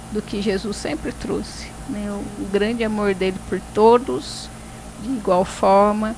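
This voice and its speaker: A woman's voice: medium pitch, a little mellow, rough recording. Woman talk host amid traffic noise. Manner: reflective, filling pauses with "né". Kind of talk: talk show hosting.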